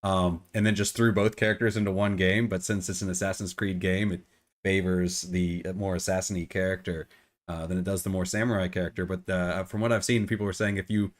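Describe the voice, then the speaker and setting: A man's voice: low-pitched, little pitch movement, a little fast. A middle-aged man with a cold and a phlegmy voice, co-hosting a casual gaming podcast and often repeating words as he restarts. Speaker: podcast co-host.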